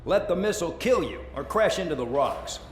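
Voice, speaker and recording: A scornful air force commander from a video game, barking clipped orders at a penal unit. His voice sits slightly high and rings in a roomy, echoing space over faint noise. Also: big pitch swings, brisk. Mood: stern, menacing. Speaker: military base commander. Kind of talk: video game cutscene acting, military briefing. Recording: roomy echo, background noise.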